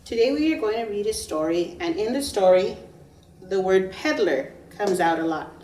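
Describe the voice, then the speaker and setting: A woman's voice: medium pitch, expressive, moderate pace, a little mellow, slight background noise. A woman preschool teacher reading a story aloud to young children, with emphatic phrasing and questions for her listeners. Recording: roomy echo, distant microphone.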